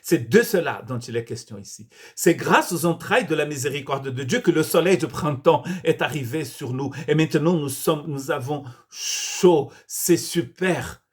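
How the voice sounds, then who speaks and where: A man's voice: a little high, expressive, normal speed, few pauses. Brazilian-born man preaching a sermon. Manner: earnest preaching with frequent restarts and repeated words. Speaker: pastor. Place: church.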